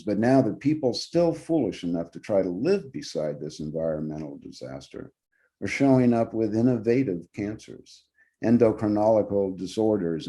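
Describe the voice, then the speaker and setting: Actor guy reading a short story out loud, real deep mellow voice, measured and dramatic first person narration.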